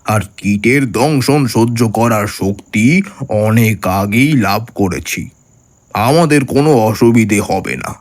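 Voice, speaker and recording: Man acting a slow, deep, reverberant tantric guru. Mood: calm, assured. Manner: measured, commanding, calm. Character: grave, fearless male tantric guru narrating his own tale. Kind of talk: horror audio story narration.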